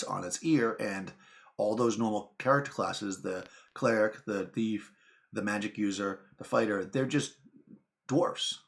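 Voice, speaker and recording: A man talks casually to his viewers, wandering through asides and restarts. His voice sits on the low side and has a steady gravelly fry. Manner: rambling, chatty, lots of fillers and asides. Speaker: channel host. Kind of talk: casual talk to camera.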